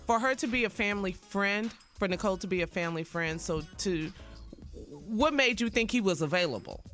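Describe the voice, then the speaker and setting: Female radio co-host, animated conversational delivery over faint music. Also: medium pitch, fairly quick. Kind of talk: casual panel discussion. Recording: music playing behind the voice.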